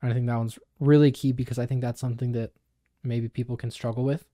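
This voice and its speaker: A young man co-hosting a podcast in a small home studio, explaining ideas in a measured, even way. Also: mid-range voice, no echo. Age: young adult. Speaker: podcast co-host. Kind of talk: reading a passage then casual discussion. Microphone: studio mic on boom arm.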